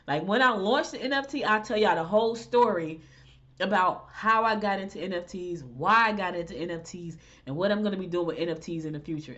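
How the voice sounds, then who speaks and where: A woman hosting a podcast, chatting in a rambling, animated way. She sounds congested, with a stuffy nose. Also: on the low side, highs cut off. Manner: rambling, animated, talks to listeners directly. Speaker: podcast host. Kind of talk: casual chatty monologue.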